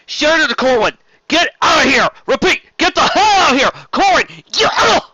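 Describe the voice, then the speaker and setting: A man's voice: extremely high pitch, sing-song, normal speed, bright, forceful tone, no echo, muffled recording, pitched above the performer's own voice, heavily acted. A man voicing a resolute, urgent male military starship captain in a science fiction story. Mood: shouting, desperate.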